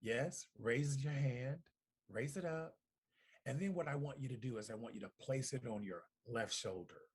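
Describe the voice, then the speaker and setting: A middle-aged man delivering a congratulatory speech as a community organization director, deliberate and emphatic, with rhetorical repetition. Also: mid-range voice, breathy edge. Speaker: community organization director giving recorded remarks. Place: at home.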